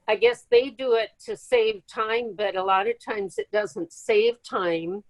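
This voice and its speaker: A woman adding her thoughts to a casual group discussion in an unhurried, reflective way. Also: medium pitch, no room sound, low-quality recording.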